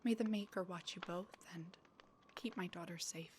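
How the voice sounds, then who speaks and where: Woman playing a low-voiced, stern homestead mother. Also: moderate pace, a little hiss or hum, lightly acted. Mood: hushed, prayerful. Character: stern homestead matriarch, anxious mother of a lost daughter. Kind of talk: fantasy audio drama acting.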